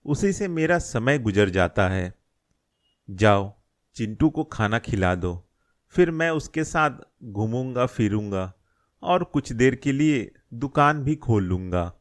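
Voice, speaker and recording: An elderly grandfather from a cartoon moral tale, acted by a man. He speaks slowly and plainly at a middling pitch. He is a hurt, grumbling shopkeeper who feels let down by his son. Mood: resigned. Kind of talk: Hindi moral-story cartoon dialogue.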